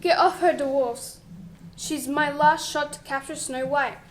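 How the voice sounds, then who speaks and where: Woman playing a high-voiced, imperious evil queen. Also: fairly even intonation, unhurried, slight background noise. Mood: furious. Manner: imperious, theatrical, rhyming threats. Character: evil fairy-tale queen, imperious, scheming and angry. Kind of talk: children's fairy-tale radio play.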